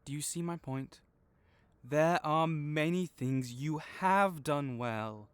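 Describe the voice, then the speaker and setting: A man playing a kind, patient veterinarian for magical creatures in a comforting audio roleplay, explaining things gently and encouragingly. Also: a little high, slow, clean tone, dry recording. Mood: firm, reassuring. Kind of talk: comforting audio roleplay, one-sided conversation.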